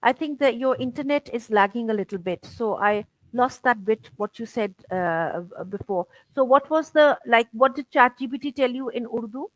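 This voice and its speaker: Middle-aged lady chatting on a video call, kinda high voice, stops and restarts a lot, dry sound. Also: lively intonation, no room sound, rough recording. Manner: chatty, halting, full of "you know" and repeats. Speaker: interviewer. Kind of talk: casual conversational interview.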